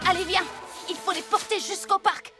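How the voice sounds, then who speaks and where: An eager young boy hero with a very high, earnest voice. He sounds headstrong and energetic, a cartoon superhero over loud music. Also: normal speed. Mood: insistent. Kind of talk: animated children's cartoon dub.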